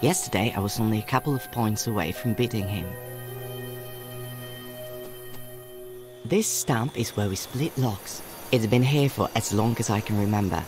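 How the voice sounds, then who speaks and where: A man's voice: lowish voice, a little fast, few pauses, music in the background, lightly acted. A man playing an earnest, caring young country boy and protective older brother, narrating reflectively in a video game. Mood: determined.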